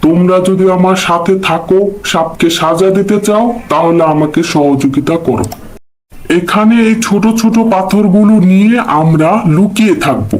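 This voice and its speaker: Man voicing a high-pitched, clever cartoon crow. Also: normal speed, lightly acted, poor audio. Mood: resolute. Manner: earnest, explanatory. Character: clever, resolute crow leading the forest birds. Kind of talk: Bengali animal fable cartoon dubbing.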